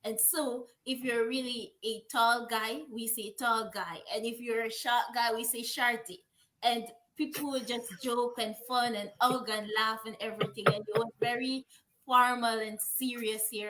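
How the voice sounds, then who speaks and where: Woman, Jamaican accent, young adult, interview guest, chatty, higher voice. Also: a bit bright, low-quality recording. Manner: chatty, many "like" fillers, laughs while telling stories. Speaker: guest, author. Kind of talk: casual interview chat.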